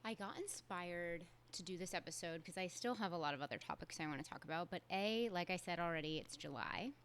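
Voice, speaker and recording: Candid woman podcast host, lowish voice. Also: a little fast, fairly bright tone, slight background noise. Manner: candid, conversational, with casual fillers. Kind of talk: solo podcast monologue.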